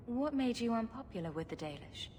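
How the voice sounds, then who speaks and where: A woman's voice: a little high, expressive, a little fast, mellow, a little hiss or hum, natural voice. An actress playing a confident, sarcastic female rogue in a video game, with a dry, teasing way of talking. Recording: reverberant hall, music underneath.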